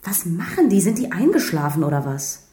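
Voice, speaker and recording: Mellow, uneasy policewoman. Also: fairly low pitch, sing-song, a little fast, roomy sound. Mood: anxious, impatient. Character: uneasy, questioning female police investigator. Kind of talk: German radio crime drama acting.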